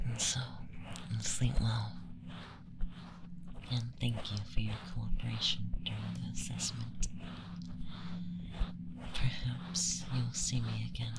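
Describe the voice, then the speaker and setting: A performer voicing an aloof, teasing alien femboy interrogator in a slow, deliberate, soft ASMR whisper. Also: mid-range voice, little pitch movement, gravelly, very noisy. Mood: calm, soothing.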